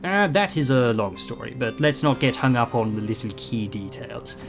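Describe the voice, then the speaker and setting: A man's voice: mid-range voice, expressive, normal speed, loud music behind the voice, lightly acted. An actor playing a quirky, self-assured male time traveller in an audio drama, wry and breezy in manner.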